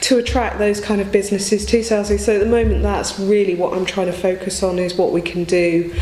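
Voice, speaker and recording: A woman's voice: mid-range voice, little pitch movement, a little fast, dark tone. A woman explaining her work as an interview guest, informally, recorded in an office. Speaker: town coordinator, interview guest. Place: indoor office. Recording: roomy office with faint noise.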